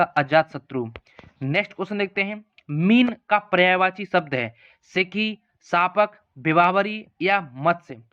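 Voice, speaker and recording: A man's voice: high-pitched, highs cut off. A man teaching an exam-prep lesson, reading out questions and options in an instructional way and repeating the answers. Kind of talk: lesson walking through multiple-choice practice questions.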